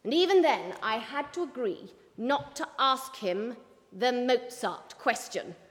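A woman's voice: fairly high pitch, expressive, measured pace, big-room sound. A woman voicing a formidable, impatient female newspaper boss, brusque and emphatic, in an audiobook. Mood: stern. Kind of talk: audiobook character voice.

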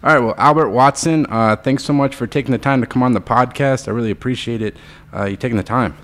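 A man's voice: medium pitch, quick pace, a bit bright. A man hosting a podcast, asking questions in a casual, chatty interview style. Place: guest's studio.

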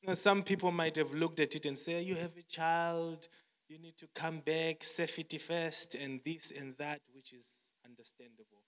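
A man's voice: fairly high pitch, fairly even intonation, normal speed, a bit bright. A man from Zimbabwe preaching a sermon, repeating phrases, quoting songs and restarting his words often. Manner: repetitive, song-quoting, stammering restarts. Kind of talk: sermon with testimony. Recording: long hall reverb.

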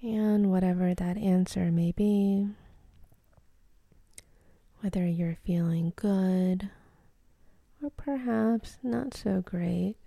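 A woman leading a guided meditation in a soft, breathy, half-whispered voice, calm and gentle, with long pauses between phrases. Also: mid-range voice, no room sound.

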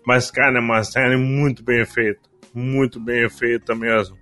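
A man, co-hosting a podcast and giving a relaxed, measured series review in casual chat. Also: mid-range voice. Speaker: podcast co-host.

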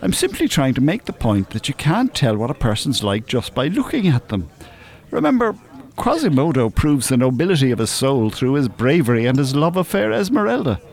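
A worldly older Irishman in a radio play. He is dry, teasing and opinionated, with a lively rise and fall in his voice and a blunt, cynical streak. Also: mid-range voice, fairly quick. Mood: cynical, explaining. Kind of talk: naturalistic radio drama acting.

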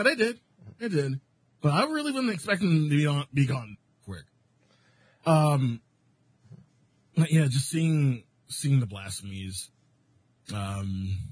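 A laid-back man chatting on an online voice call, joking dryly and stopping often to restart his sentences. His husky voice rises and falls a lot as he talks. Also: fairly low pitch. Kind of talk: casual group chat about a video game.

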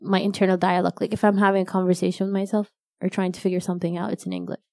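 A young woman whose first language is Arabic, chatting casually as a guest in an interview, self-deprecating and quick to laugh. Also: on the low side, flat delivery, gravelly, darkish tone, dry recording, studio quality. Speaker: novelist guest.

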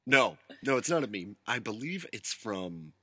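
A man's voice: on the low side, very animated, moderate pace, pushed and bright, husky, dry recording. A man co-hosting a casual podcast, joking and rambling with self-mocking asides. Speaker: podcast co-host. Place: rural home studio.

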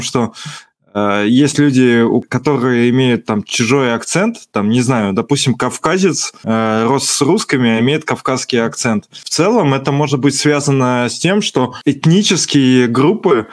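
A man hosting a casual podcast conversation, with a middle-pitched voice. He rambles through his points, full of fillers and half-finished restarts. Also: expressive, moderate pace. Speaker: podcast host. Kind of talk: casual chat.

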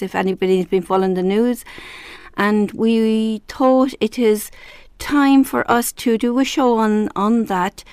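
A woman's voice: medium pitch, darkish tone, clean tone, no echo, studio quality. A woman with an Irish accent hosting a casual radio interview, chatty and hesitant with many restarts. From Ireland. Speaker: radio show host.

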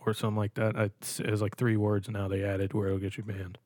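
A man's voice: on the low side, monotone, fast, darkish tone, dry recording. A man in casual chat, blunt and sardonic, ranting with heavy swearing.

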